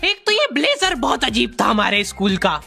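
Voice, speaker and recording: A man narrating a comic first-person cartoon story in an exaggerated way, his voice sounding artificially pitched up. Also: sing-song, normal speed, gravelly, bright, forceful tone, slightly rough. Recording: faint background music.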